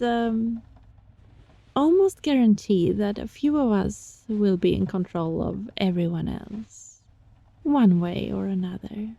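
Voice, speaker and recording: Soft, husky, dark-toned kitsune girl acted by a woman. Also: mid-range voice, no echo, muffled recording, full character voice. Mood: sly explaining. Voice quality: soft, breathy. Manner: gentle, coaxing, teasing. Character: gentle, sly young female kitsune student, fox-spirit roommate. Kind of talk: ASMR roleplay, intimate acting.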